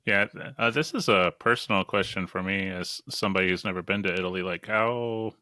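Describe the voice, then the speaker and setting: A man's voice: sits low, a little fast, bright, forceful tone, no echo, studio quality. A man co-hosting a podcast, asking friendly and somewhat hesitant questions in a casual chat. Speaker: editor, co-host.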